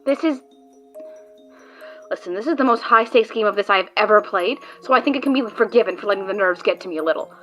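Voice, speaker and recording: A woman's voice: medium pitch, animated, fast talker, pushed and bright, soft background music. A woman playing a tense, sarcastic card player trying to save her friend in an audio drama, with a biting, dramatic delivery. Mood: nervous.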